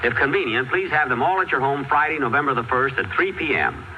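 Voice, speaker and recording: A man's voice: mid-range voice, animated, fairly quick, close to an everyday voice. A man playing a vain, exasperated male comedy star in an old-time radio comedy style, fussy in his delivery. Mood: fussy, upbeat. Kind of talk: old-time radio comedy acting, club script performance. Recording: dull, very noisy amateur live recording.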